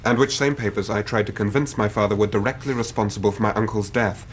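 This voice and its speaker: A man's voice: lowish voice, steady tone, quick pace, a little hiss or hum, lightly acted. An actor playing an anxious, earnest young Victorian man in a radio drama, speaking in formal, precise period language. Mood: earnest, grave. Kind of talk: radio drama acting, narrating his own story.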